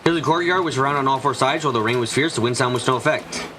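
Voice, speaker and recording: A man's voice: medium pitch, very fast, sharp tone, a little hiss or hum. A man reading a visual novel aloud as a live fan dub on a stream. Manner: switches between character voices while reading. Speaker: let's play streamer.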